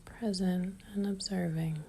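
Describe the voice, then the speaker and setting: A woman guides a meditation in a soft, breathy near-whisper. Her voice is fairly low and mellow, with a slight creak at the edges. She is calm and gentle, leaving long pauses between phrases. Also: a little hiss or hum.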